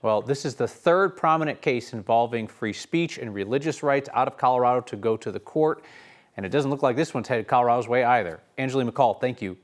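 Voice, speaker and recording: A man's voice: mid-range voice, fairly quick. An American man anchoring a newscast with scripted news narration.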